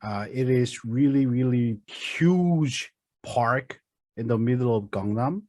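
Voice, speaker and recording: A Korean man co-hosting a casual podcast, speaking English with many pauses and fillers as he searches for words. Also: medium pitch, darkish tone, dry recording, studio quality. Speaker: podcast co-host. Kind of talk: casual chat.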